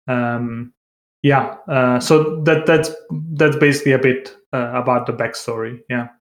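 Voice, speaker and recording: A man with a mid-pitched voice talks in a roomy, echoing space. He is an interview guest, speaking informally and hesitantly in a fairly flat tone, with plenty of filler words. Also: unhurried. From born in Bosnia, grew up in Denmark. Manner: informal, hesitant, frequent "like" and "you know". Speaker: interview guest, tech company founder. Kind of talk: casual podcast interview.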